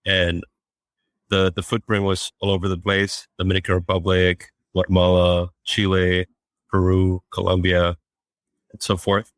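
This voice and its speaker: A man's voice: deep voice, monotone, a little slow, no echo. A man from Costa Rica, a startup founder, answering interview questions hesitantly and repeating words. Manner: hesitant, repeats words before finishing thoughts.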